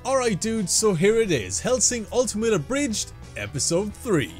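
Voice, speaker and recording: A young man in his twenties talks as a casual reaction host. His voice is high for a man and very lively, slangy and excitable, with faint music behind. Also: normal speed. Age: 20s. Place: small bedroom, indoors.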